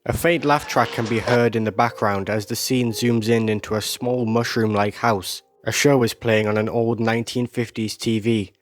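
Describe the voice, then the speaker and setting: A man's voice: fairly low pitch, steady tone, moderate pace, few pauses. A man narrating a video essay in a scripted, descriptive storytelling style. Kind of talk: scripted narration, recapping an animated series. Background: music.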